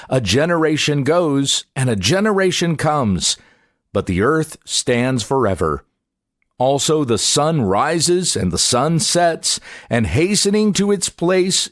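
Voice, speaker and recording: Slow, expressive man reading scripture. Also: mid-range voice, no echo, studio quality. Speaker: pastor reading scripture aloud. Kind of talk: scripture reading, audiobook-like narration.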